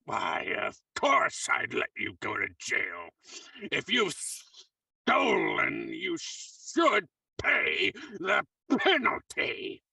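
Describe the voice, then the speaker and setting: Gravelly, spiteful old miser. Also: male voice, fairly high pitch, huge pitch swings, bright, forceful tone, no echo, far from the mic, heavily acted. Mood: scornful. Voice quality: gravelly, raspy. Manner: menacing, cackling, drawn-out delivery. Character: spiteful, gloating old miserly uncle with a pet raven. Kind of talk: 1940s horror radio acting.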